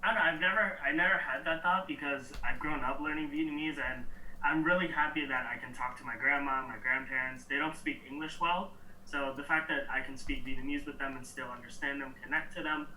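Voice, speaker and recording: A young man from New York answering interview questions in a relaxed, halting way, with soft laughs between thoughts. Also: medium pitch, a little hiss or hum. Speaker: interview guest. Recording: echoey room, mic at a distance.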